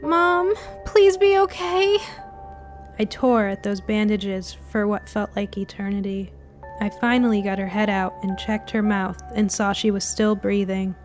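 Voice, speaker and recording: A woman's voice: medium pitch, little pitch movement, normal speed, a little mellow. Woman telling a scary halloween story, scripted narration, some music behind it. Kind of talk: scripted first-person spooky story narration.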